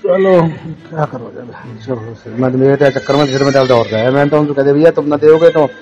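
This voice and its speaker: A man's voice: medium pitch, quick pace, darkish tone. A man with a rural western Uttar Pradesh accent talking informally on a phone recording, placating and often repeating himself. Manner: placating, repetitive, murmured agreement. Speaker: village head on a phone call. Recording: leaked phone call recording, music behind.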